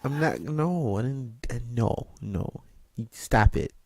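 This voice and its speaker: Playful young man, lively voice. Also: medium pitch, gravelly. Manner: playful, joking, quick to laugh and protest. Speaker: interviewer, channel host. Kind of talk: casual interview chat.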